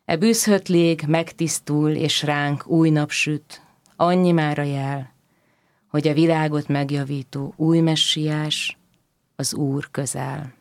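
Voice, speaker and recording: A reciter delivering religious verse in a solemn, measured declamation. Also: medium pitch, fairly even intonation, dry recording. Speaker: poetry reciter.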